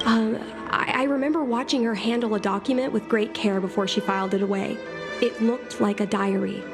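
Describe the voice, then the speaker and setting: Woman as a young game heroine, medium pitch, earnest, candid, a bit hesitant, soft music behind. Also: fairly even intonation, brisk, lightly acted. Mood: hesitant, hopeful. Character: earnest, candid young girl, a pilot's daughter. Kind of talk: scripted video game dialogue.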